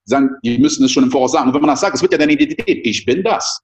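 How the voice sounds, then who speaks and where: Interview guest, man in his 30s, raised in the Ivory Coast; rapid, animated, filler-heavy storytelling. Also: medium pitch. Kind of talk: casual interview talk.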